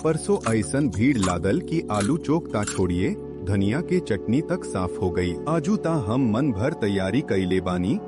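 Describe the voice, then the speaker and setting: A man's voice: on the low side, very animated, measured pace, loud music behind the voice. A man playing a proud, welcoming village snack vendor in a cartoon, with a Bhojpuri accent. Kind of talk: animated moral story dialogue.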